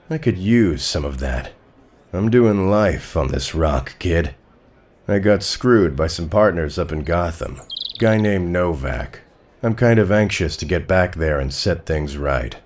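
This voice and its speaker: A man's voice: low-pitched, expressive, measured pace, a little mellow. A man playing a streetwise, ingratiating American convict in a comic dub, talking in a colloquial, wheedling manner. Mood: ingratiating, sly. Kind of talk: dramatic comic-dub acting.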